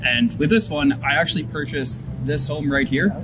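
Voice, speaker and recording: A man's voice: fairly high pitch, normal speed, background noise. A young man being interviewed outdoors, explaining things in a casual, informal, easygoing way. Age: young adult. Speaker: home builder being interviewed. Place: outdoors in a yard between houses.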